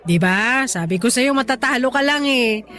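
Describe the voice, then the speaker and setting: A skeptical, playful young woman with a fairly high voice. She talks in a casual, teasing way, stubborn yet fond, as in a radio drama, with soft music behind her. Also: normal speed. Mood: cocky, debating. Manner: teasing, casual, animated retorts. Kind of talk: conversational radio drama acting. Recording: studio dialogue over faint music.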